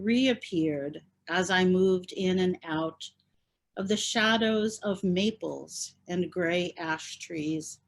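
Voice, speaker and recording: Slow, low-voiced woman reading with deliberation. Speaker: poet reading at a tribute event. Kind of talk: heartfelt tribute and poetry reading. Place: virtual reading over video call. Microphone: video call.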